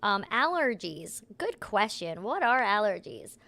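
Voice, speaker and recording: A young woman with a hoarse, soft voice she cannot project, chatting casually while playing a game and rambling through explanations. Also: medium pitch, very animated, unhurried. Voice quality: soft, unprojected voice. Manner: rambling, explains with many "like"s and "right?". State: hoarse, losing her voice. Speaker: voice actor streaming gameplay. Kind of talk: casual gameplay chat.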